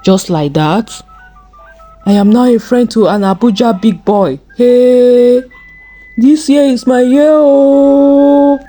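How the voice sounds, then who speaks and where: A woman's voice: a little high, takes it slowly, dark tone, faint music behind, voice pushed up. A woman voicing an eager, naive young man in a folktale, exclaiming and pleading. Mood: excited, impressed.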